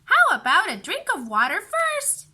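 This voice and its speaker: Woman voicing a very high-pitched whiny stubborn little girl. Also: huge pitch swings, a little slow, sharp tone, slight background noise, full character voice. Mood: wanting attention. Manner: whiny, insistent. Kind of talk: children's storybook character voice.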